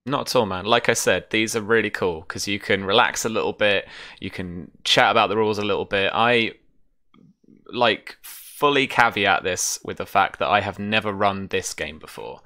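A man's voice: fairly low pitch, moderate pace, bright, forceful tone, dry recording, studio quality. A British man in his thirties chatting informally as he explains a tabletop game on a livestream, easygoing and reassuring. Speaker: game master and streamer. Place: small room at home, on a video call.

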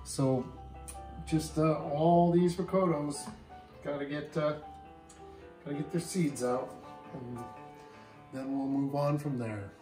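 An older man hosting a home cooking show, explaining each step in a relaxed way with frequent pauses, in a kitchen and then out at a backyard grill. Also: a little high. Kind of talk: casual cooking demonstration. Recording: music playing underneath.

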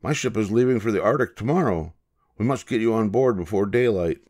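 A man voicing a helpful male ship's cat in a storybook, brisk and matter-of-fact. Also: lowish voice, lively intonation, a little mellow. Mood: purposeful.

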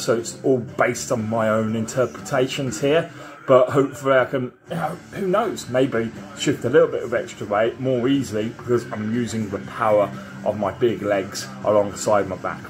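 A man's voice: on the low side, poor audio. A man in his thirties talking casually to camera in a small garage home gym, rambling and self-assured, recorded close on a phone.

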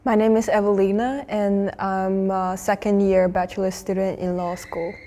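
A woman's voice: medium pitch, level tone, moderate pace, mellow, big-room sound. A woman in her early twenties from Sweden, talking casually as a student guest on a panel. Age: early 20s. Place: large indoor cafe-like room. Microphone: lapel.

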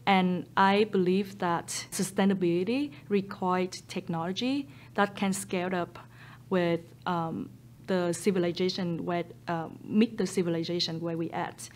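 Soft-spoken Vietnamese-accented woman founder. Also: fairly low pitch, measured pace. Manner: earnest, steady, with frequent ums and non-native grammar. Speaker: startup founder. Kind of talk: seated documentary interview. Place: photo studio with a blue backdrop, indoors. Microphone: boom mic overhead.

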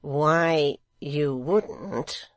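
A scheming secretary from a storybook, voiced by a male narrator. He speaks very slowly and mildly, with pastor-like, insinuating politeness over hidden malice. The sound is dry. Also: a little high, sing-song, no room sound, voice pushed up, exaggerated voice. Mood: mild, menacing. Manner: pastor-like, mild, insinuating, drawn-out. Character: smooth, scheming, falsely mild male secretary.

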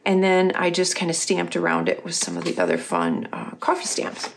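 A middle-aged woman, a little husky and losing her voice, chatting casually as she walks through her planner pages. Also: lowish voice, very animated, moderate pace, slight background noise. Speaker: craft company host. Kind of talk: casual craft tutorial voice-over.

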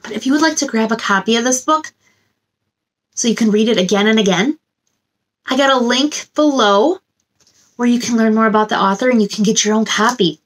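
A woman in her thirties speaking to young children as a story time host, with an expressive, simple delivery. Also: fairly high pitch, a little fast, pauses often, low-quality recording. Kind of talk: children's read-aloud and chat to young viewers.

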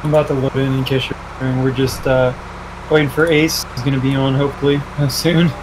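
A man with a medium-pitched voice banters casually with fellow players, full of slang and swearing. Game vehicle noise is clearly heard behind him. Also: little pitch movement, fast talker.

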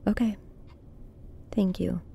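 A young woman with a gravelly fry and a dark, mellow tone acted by a woman. She is guarded and brooding, understated as in a horror audio play. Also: medium pitch, fairly even intonation, slow, slight background noise. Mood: guarded, skeptical. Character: guarded, brooding daughter of a missing father. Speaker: voice actor. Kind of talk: naturalistic horror audio drama acting.

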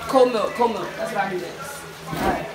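A young woman filming a cooking video on her phone in her home kitchen, chatting to viewers in a relaxed, slangy way. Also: medium pitch, moderate pace, a crowd in the background. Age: young adult. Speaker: cooking vlogger. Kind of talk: casual cooking demo. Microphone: handheld phone camera. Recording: sizzling pan noise behind. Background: oil frying and sizzling.

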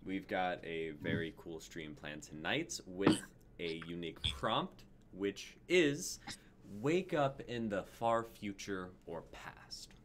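Low voiced guy in his 20s hosting a livestream, casual, bit of background noise. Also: slow pace. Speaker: stream host. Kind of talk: casual live-stream hosting. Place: indoor room, group video call.

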